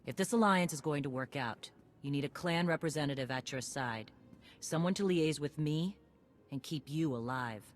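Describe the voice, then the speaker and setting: A woman's voice: deep voice, moderate pace, faint music behind, lightly acted. An actress playing a tough veteran female warrior clan leader, blunt and commanding. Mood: gruff.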